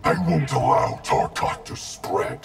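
Gravelly, menacing undead ninja. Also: male voice, mid-range voice, huge pitch swings, measured pace, exaggerated voice. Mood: defiant. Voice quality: gravelly, distorted growl. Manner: threatening, declamatory. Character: undead ninja wraith, cold, menacing, vengeful. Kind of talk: fighting game character dialogue. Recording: loud music behind.

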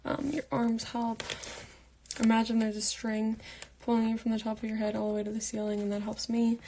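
A young woman in her late teens reading out fan comments and answering them in a soft, half-whispered voice, on a phone held at arm's length in her bedroom at night. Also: a little high, breathy edge. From Pittsburgh, United States. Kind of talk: casual live Q&A chat.

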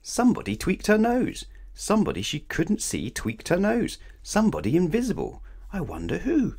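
A man reading a children's storybook aloud in an animated, playful way, speaking straight to young listeners. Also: high voice, normal speed. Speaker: storybook reader. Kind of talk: expressive scripted children's story read-aloud.

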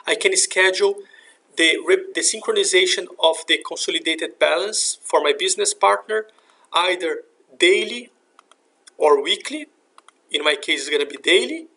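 A man narrating a software demonstration in a step-by-step, instructional way. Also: high-pitched, creaky. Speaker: software demo presenter. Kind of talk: scripted software tutorial narration. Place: screen recording voice-over.

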